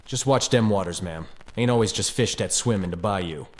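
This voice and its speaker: Guy doing a swamp local, ominous, lowish voice, radio play. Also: moderate pace, lightly acted. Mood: ominous warning. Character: ominous Louisiana bayou local man, warning strangers. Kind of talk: radio drama acting.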